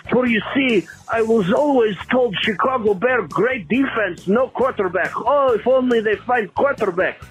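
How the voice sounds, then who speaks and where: A high-voiced man acting as a Russian caller, bewildered and delighted, speaking in formal broken English. It is a comic voicemail monologue, with music playing behind it. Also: a bit bright, husky, full character voice, low-quality recording. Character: bewildered, delighted Eastern European man phoning in.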